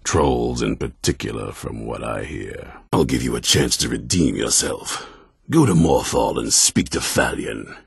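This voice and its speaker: Game role: grim veteran monster-hunting commander, extremely low and very raspy, blunt and distrustful. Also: male voice, expressive, a little slow, no echo, clean studio sound, full character voice. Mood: grim, wary. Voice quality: gravelly, husky. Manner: gruff, blunt, stern. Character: grim, distrustful, battle-hardened male vampire hunter commander. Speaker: leader of an order of vampire hunters. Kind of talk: video game character dialogue.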